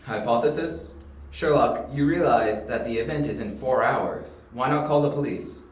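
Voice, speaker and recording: A man's voice: mid-range voice, moderate pace, lightly acted. A man playing a young detective's precise, questioning brother in an amateur audio drama. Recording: echoey room.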